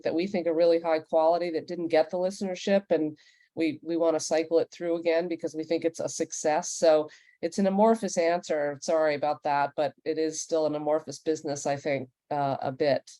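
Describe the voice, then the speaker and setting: A woman leading a panel discussion as an executive, explaining things casually in a measured, candid way. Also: on the low side, dark tone, no room sound. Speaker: senior content executive, panel host.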